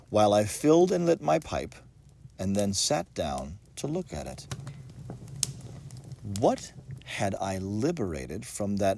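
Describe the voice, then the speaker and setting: Man reading with very expressive, swooping pitch. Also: creaky. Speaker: audiobook reader. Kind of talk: dramatic narration of a ghost story.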